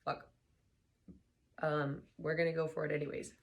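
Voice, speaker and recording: A young woman with a hoarse, slightly lost voice, sounding tired, chatting casually and then reading a book aloud softly. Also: deep voice, little pitch movement. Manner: tired, soft and unhurried. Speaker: book reader vlogger.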